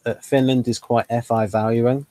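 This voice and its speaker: A man's voice: medium pitch, flat delivery, moderate pace, dark tone, dry recording. A man hosting a casual interview, explaining ideas, reading items aloud and asking follow-up questions. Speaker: host.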